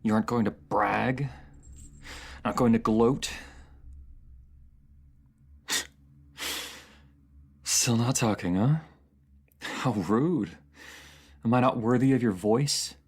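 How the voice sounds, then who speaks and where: A man playing a proud, bitter captive ruler in a fantasy audio drama, speaking in formal, haughty, taunting phrases with a gravelly voice. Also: mid-range voice, unhurried, pauses often. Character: proud captive enemy ruler, sardonic, bitter, secretly admiring.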